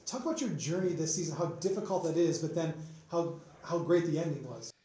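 A man's voice: on the high side, animated, brisk, warm tone, very echoey. A male reporter asking long, leading questions at a sports press conference.

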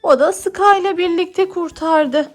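A woman's voice: very high voice, unhurried. A woman playing toys with her young son, narrating playfully for children and encouraging him with questions.

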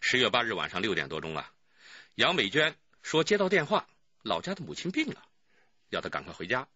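Sharp, raspy seasoned detective. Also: male voice, lowish voice, very animated, a little fast, dry recording, highs cut off, exaggerated voice. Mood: reporting. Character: seasoned male police detective, good-humoured and practical. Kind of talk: Chinese radio drama acting.

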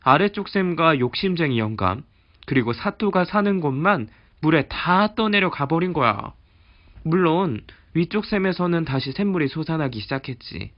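A man reading a fairy tale aloud to children in a gentle, chatty storytelling manner. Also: a little high, expressive, moderate pace, dull audio. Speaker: storybook reader.

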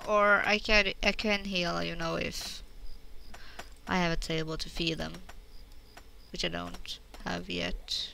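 A woman's voice: on the low side, little pitch movement, takes it slowly, gravelly, pushed and bright, a little hiss or hum, rough recording. A woman streaming a crafting game, chatting casually, muttering to herself and answering chat questions. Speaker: gameplay streamer. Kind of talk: casual let's-play commentary.

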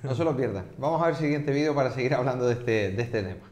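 A man's voice: mid-range voice, expressive, quick pace, a little mellow, slight background noise. A man hosting a studio TV talk show, affirming his guest and speaking straight to the viewers. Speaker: TV show host. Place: TV studio set.

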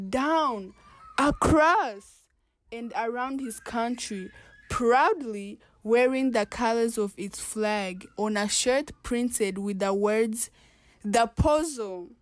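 Slow, careful young woman reader. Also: on the high side, dry recording. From Uganda. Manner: slow, deliberate storybook reading. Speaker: student reader. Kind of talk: reading a picture book aloud.

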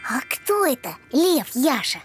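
A woman's voice: high-pitched, sing-song, a little slow, soft background music. A woman voicing an earnest little boy puppy in a children's cartoon, speaking in a childlike, pleading way. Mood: glum, curious.

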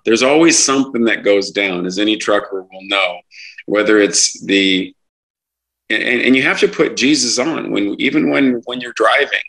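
A man talking as a truck driver guest in an interview, earnest and halting, with many restarts. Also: fairly low pitch, a bit bright, low-quality recording. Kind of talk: casual interview talk.

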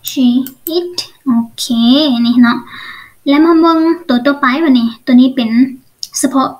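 High-pitched Lao woman teaching, very unhurried. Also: darkish tone, low-quality recording. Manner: explains step by step with frequent check questions. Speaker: English teacher. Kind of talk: online language lesson.

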